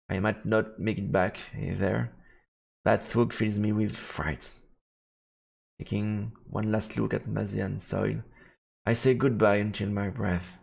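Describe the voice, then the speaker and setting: A man's voice: lowish voice, creaky, darkish tone, slightly rough. A man streaming a visual novel, reading the narration aloud and adding casual reactions.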